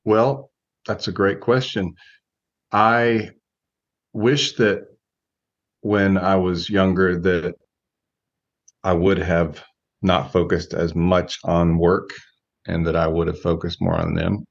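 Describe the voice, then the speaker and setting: A man in his forties giving measured, reflective answers as a guest coach in an interview, thinking aloud as he goes. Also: low voice, little pitch movement, gravelly, lo-fi sound. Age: 40s.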